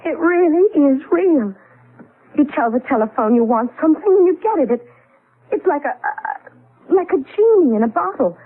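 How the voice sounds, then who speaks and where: American female, wide-eyed young wife; very animated, dramatic delivery; vintage seventies radio play, narrow broadcast sound. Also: high-pitched, normal speed. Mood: frightened awe. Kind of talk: 1970s radio drama acting. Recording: band-limited old radio broadcast.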